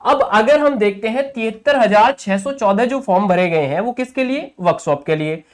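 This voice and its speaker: A man's voice: high-pitched, lively intonation, normal speed. A male coaching teacher giving an online exam-prep lesson, who repeats key points, checks in with listeners often and addresses viewers familiarly as brothers.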